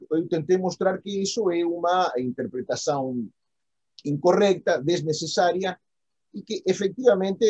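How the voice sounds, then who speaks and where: Middle-aged male academic, native Argentine Spanish accent, dark mellow tone, raspy, halting and self-correcting delivery. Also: a little high. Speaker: philosophy professor, interview guest. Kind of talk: conversational academic explanation. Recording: dull lo-fi audio.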